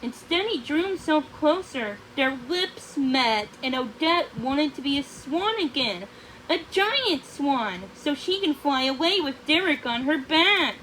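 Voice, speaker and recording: A woman narrating a storybook aloud, haltingly, often stumbling and going back over phrases. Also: high-pitched, lively intonation, sharp tone, slight background noise, poor audio.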